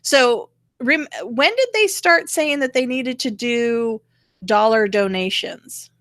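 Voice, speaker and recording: A woman's voice: on the high side, takes it slowly, fairly bright tone, dry recording, studio quality. A woman host chatting casually about politics in a blunt, sarcastic, mildly profane way. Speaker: talk show host.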